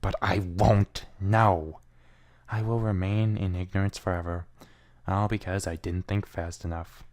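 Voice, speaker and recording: A man's voice: low voice, level tone, a little slow, darkish tone. A man giving a brooding, theatrical first-person reading of a fan fiction story. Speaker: amateur fan fiction reader.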